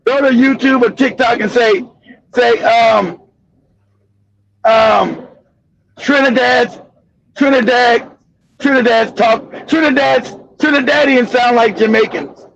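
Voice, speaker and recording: A man hosting a show, delivering a loud, profane, mocking commentary rant. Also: very high voice, takes it slowly, gravelly, long pauses, dull audio. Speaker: show host.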